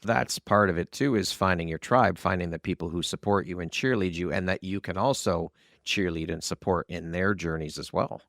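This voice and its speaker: Man, podcast host, low-leaning gravelly voice, candid, informal, close dry sound. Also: normal speed, hardly any pauses, a bit bright, dry recording. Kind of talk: conversational podcast interview.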